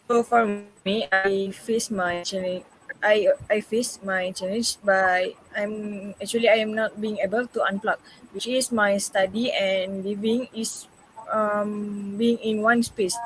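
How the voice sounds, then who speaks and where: A young woman answers interview questions in a middle-pitched voice. She hesitates often and fills gaps with fillers while faint noise runs underneath. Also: low-quality recording. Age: 20s. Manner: hesitant, with frequent fillers and restarts. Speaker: student interviewee. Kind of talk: prepared class interview answers.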